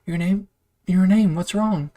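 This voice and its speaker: A man's voice: high voice, a little slow, mellow, pitched above the performer's own voice. Man voicing a kind, caring young woman soldier. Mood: worried.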